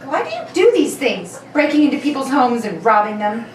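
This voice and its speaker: A woman's voice: sits high, big pitch swings, normal speed. A woman playing a frightened, pleading young captive in an old-time radio play reading. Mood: anxious, defiant. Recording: roomy, distant mic, background noise.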